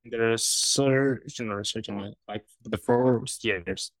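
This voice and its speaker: A young man from China with Chinese as his first language, answering interview questions haltingly, often restarting words. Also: on the low side, no room sound. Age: 20s. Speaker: guest.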